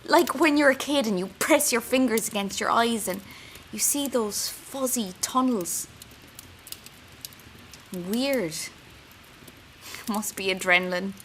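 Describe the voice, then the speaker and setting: Bright-voiced, wry young Irish intern. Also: female voice, on the high side, slow pace, long pauses, a little hiss or hum. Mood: uneasy, wry. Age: young adult. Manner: intimate, wry, self-mocking. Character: anxious, wry young female psychiatric intern. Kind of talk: confessional audio-drama diary narration.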